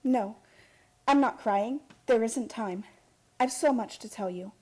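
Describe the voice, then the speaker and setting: An actress playing an earnest young heiress in a radio drama, with urgent, impassioned delivery. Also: female voice, fairly high pitch, unhurried, a little mellow, breathy edge. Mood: tender, fervent.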